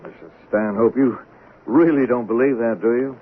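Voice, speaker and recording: An actor in a 1970s radio drama playing a formal, measured, persistent police sergeant. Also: male voice, mid-range voice, lightly acted. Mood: insistent, sceptical. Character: probing, persistent male police sergeant interrogating suspects. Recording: tinny narrow-band 1970s radio recording, noisy.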